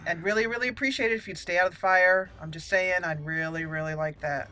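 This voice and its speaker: A woman's voice: fairly low pitch, fairly even intonation, quick pace, pushed and bright, faint music behind. A woman giving casual, chatty video game commentary, talking through each step as she plays. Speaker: video game let's play host.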